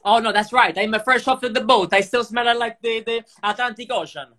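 A young Italian man with an Italian accent, a guest actor talking in a casual, animated and joking way on a livestream podcast. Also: very high voice, brisk. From Italy. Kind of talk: casual chat.

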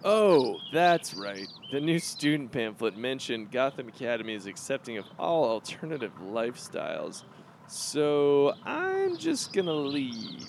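A man's voice: mid-range voice, wildly swooping pitch, a little slow, background noise. A man playing a bratty, swaggering, foul-mouthed rich schoolboy in a comedy cartoon, cocky and sarcastic. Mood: smug. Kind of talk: animated comedy voice acting.

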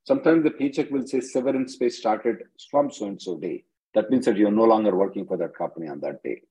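A man's voice: on the low side, a little fast, mellow, husky, rough recording. A man working as an immigration attorney, answering callers' questions on a conference call in a blunt, impatient way, often repeating his phrases.

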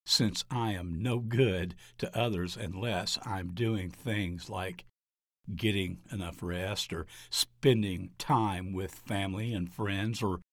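Male pastor for scripted narration, lowish pitch, very unhurried and measured. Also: steady tone, no room sound, studio quality. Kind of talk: scripted narration of an article on ministry.

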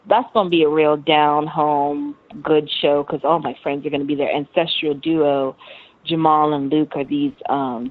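American woman, 30, low voice, effusive slangy interviewee on a narrow phone-like line. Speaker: interview guest, musician. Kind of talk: casual chat.

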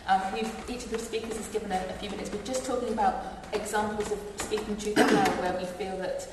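A woman moderating a panel, brisk and welcoming. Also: medium pitch, fairly even intonation, noisy background. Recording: echoey hall from far off.

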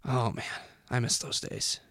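A man's voice: a little slow, a bit bright, dry recording. Young midwest guy hosting radio, super upbeat and chatty, clean dry studio sound. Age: young adult. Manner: upbeat, effusive. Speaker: college radio show host. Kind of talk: casual radio chat. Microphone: close studio microphone.

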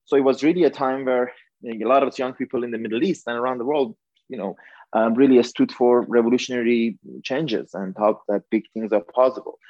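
A man's voice: mid-range voice, steady tone, a little fast, a little mellow, no echo, dull audio. A man in his thirties from Iran, speaking as a guest author; thoughtful, with frequent light laughs. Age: 30s. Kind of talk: casual interview.

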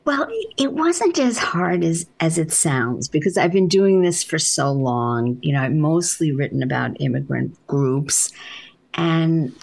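A woman author with a soft voice, answering interview questions in an unhurried, reflective way with halting restarts. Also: deep voice, animated, vocal fry.

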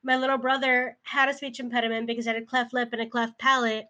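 A woman from California's San Fernando Valley, whose first language is Spanish, chats freely in a group conversation. Her voice sits a little high, and "like" runs through nearly every sentence. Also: flat delivery, fast, pushed and bright, highs cut off. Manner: chatty, many "like" fillers. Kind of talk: casual group chat.

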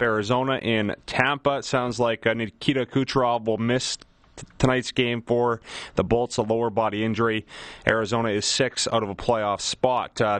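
A male radio co-host with a medium-pitched voice. He runs through sports news in a dry studio sound. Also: fairly bright tone, clean tone, no echo.

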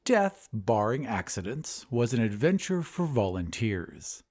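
A man's voice: mid-range voice, sing-song, unhurried, darkish tone. A man hosting a storytelling podcast, reading scripted narration. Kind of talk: scripted audiobook-style narration.

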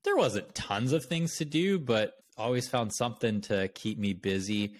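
A man's voice: mid-range voice, measured pace. A man from Canada with a Canadian accent, talking casually and conversationally as a podcast host.

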